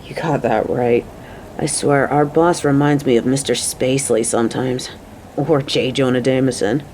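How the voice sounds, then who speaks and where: A woman's voice: rumbling low, steady tone, moderate pace, a little mellow, a little hiss or hum. A woman playing a caring, playful coworker on a date in an audio roleplay, talking to the listener in a motherly, teasing, chatty way. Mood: grumbling.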